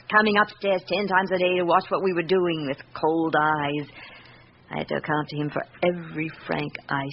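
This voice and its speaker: An actress playing an embittered middle-aged estranged wife in a radio drama, with a British accent and a reflective, confiding manner. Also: female voice, lowish voice, natural voice. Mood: aggrieved. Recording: muffled, noisy old radio recording.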